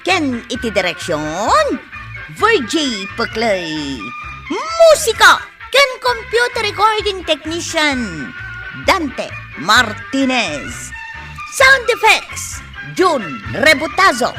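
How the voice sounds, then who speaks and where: Moralizing village woman, radio drama announcer delivery, high-pitched, very lively intonation, emphatic. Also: takes it slowly, exaggerated voice. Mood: announcing. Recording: music bed under the voice.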